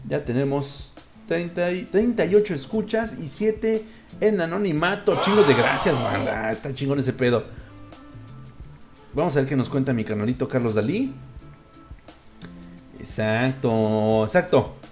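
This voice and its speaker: A man's voice: mid-range voice, animated, measured pace, pauses often, darkish tone, faint music behind. A man with a Mexican accent hosting a casual online show, chatting and reading listeners' messages in crude, jokey slang. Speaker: host of a live listener-call-in style online show.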